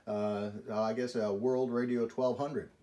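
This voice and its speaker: A man's voice: medium pitch, fairly even intonation, measured pace, dark tone. A man chatting casually on CB radio in a polite, folksy, exclaiming way. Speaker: CB radio operator. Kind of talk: casual radio contact chat.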